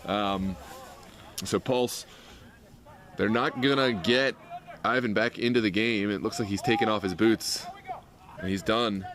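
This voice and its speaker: A man doing loud live play-by-play for an amateur soccer match from the sideline, his voice lifting on attacking moves. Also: lowish voice, measured pace, long pauses. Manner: loud, excitable calls on attacks. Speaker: play-by-play commentator. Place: outdoors at a soccer field sideline. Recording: outdoor field with crowd noise. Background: crowd.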